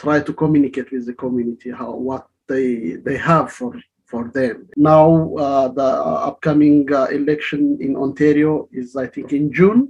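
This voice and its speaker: A man's voice: mid-range voice, steady tone, darkish tone, husky, lo-fi sound. A male community radio host whose first language is Amharic, interviewing a guest with many restarts and fillers. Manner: halting, frequent restarts and fillers. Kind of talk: casual interview.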